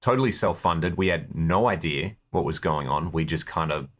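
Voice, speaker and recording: A man's voice: sits low, normal speed, a bit bright. A man with an Australian accent chatting as an interview guest, telling stories about his own experiences in a conversational way. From Perth, Australia. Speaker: interview guest, guitarist in a touring band.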